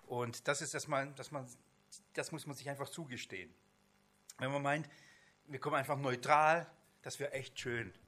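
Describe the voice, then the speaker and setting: Male Bible teacher, non-native speaker, sharp forceful voice, informal lecture delivery with halting rephrasing. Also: mid-range voice, fairly even intonation. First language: not German.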